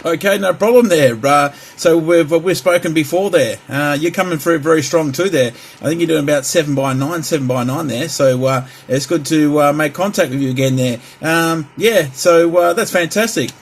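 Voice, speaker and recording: An Australian man chatting casually and haltingly as a hobby radio operator, often repeating himself. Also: fairly high pitch. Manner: halting, repetitive. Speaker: CB radio operator demonstrating his equipment. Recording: clear background hiss and radio noise.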